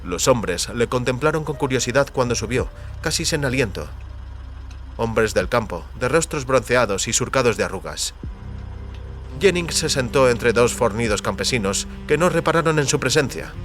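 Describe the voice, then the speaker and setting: A man's voice: medium pitch, animated, normal speed, a bit bright. Castilian Spanish man narrating over music. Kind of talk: dramatized audiobook narration. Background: music under the voice.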